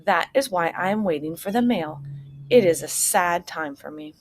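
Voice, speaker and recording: A woman's voice: lowish voice, lively intonation, normal speed. A woman voicing a sad, resigned male toad in a children's storybook, with a plaintive manner.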